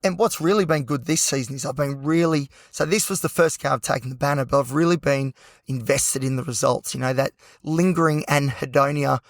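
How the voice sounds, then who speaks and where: An Australian man hosting a solo podcast with a hoarse, croaky voice, talking passionately and rambling with lots of fillers. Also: medium pitch, a little fast, dry recording, clean studio sound. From Newcastle, New South Wales, Australia. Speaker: podcast host.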